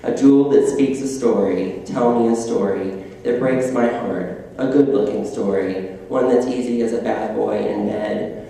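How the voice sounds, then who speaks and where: A man's voice: mid-range voice, unhurried, gravelly, dark tone. A man reading his poem aloud at a public reading, in a rhythmic, chant-like delivery. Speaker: poet reading his work. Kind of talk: poetry reading. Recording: echoey hall from far off.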